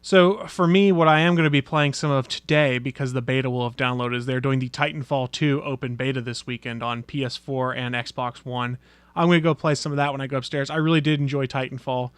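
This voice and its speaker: A man's voice: medium pitch, steady tone, brisk, clean tone, dry recording. A chatty male podcast host with an ordinary mid-range voice who laughs easily. He is recorded close and dry, with no room sound. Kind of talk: casual podcast chat.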